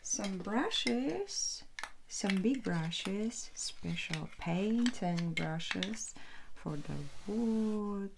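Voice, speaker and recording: A woman in her thirties speaking in a hushed, halting whisper as an ASMR host. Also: mid-range voice, animated, vocal fry, background noise. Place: indoor room at home with brick wall.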